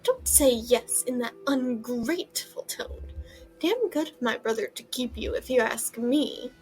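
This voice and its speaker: A woman's voice: a little high, expressive, a little slow, soft background music, poor audio. An actress playing an overbearing, haughty middle-aged aunt in an audio drama, blustering as she scolds and dotes. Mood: gruff, scornful. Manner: blustering, scolding, cooing at her pets.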